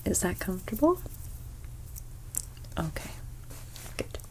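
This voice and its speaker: A young woman with a stuffy nose giving an ASMR haircut role play as a hairstylist. She speaks softly, often slips into whispers, and makes gentle salon small talk. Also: medium pitch, big pitch swings, slow pace, background noise. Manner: soft-spoken, drifting into whispers.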